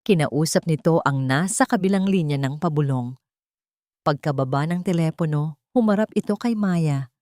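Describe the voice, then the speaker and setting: A woman's voice: low voice, moderate pace, a little mellow, no room sound, clean studio sound. A woman narrator reading a dramatic scripted story.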